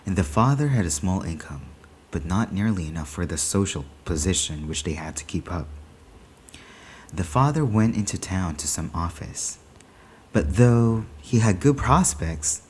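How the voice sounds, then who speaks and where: A man reading a short story aloud in a deliberate, dramatic storytelling manner. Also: low-pitched, a little mellow, slightly rough. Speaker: story reader.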